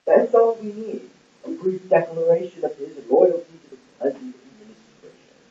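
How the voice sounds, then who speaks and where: A man playing a smooth, cold, scheming royal secretary in an amateur puppet-show play, speaking in a measured, formal way. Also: high-pitched, lively intonation. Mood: insistent, measured. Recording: roomy, muffled, distant lo-fi recording.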